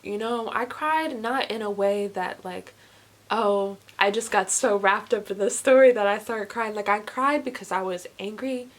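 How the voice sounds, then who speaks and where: A woman's voice: mid-range voice, normal speed. A young woman chatting casually as a vlogger, gushing and emphatic, often repeating words for emphasis. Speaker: book reviewer vlogger. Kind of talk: casual chat to camera.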